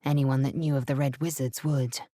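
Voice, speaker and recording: A woman's voice: very deep voice, fairly even intonation, normal speed, warm tone, dry recording, studio quality. An actress playing a proud, fierce alien warrior woman in a video game, with a clipped, formal and haughty delivery. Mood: grave, recounting. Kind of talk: video game character voice acting.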